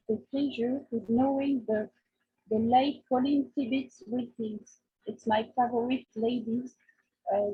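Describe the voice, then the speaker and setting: Slow, husky French-speaking woman, muffled call. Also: on the high side. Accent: Quebec French. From Quebec, Canada. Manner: halting, apologetic second-language delivery. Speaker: new member giving a presentation. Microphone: video call. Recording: muffled, thin video-call audio.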